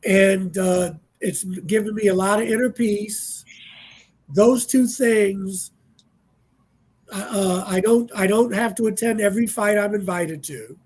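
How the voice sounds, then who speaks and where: A man's voice: sits high, measured pace, a little mellow, breathy edge. A man in his sixties, a panel guest speaking in a reflective, easygoing way on a casual radio talk show. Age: 60s.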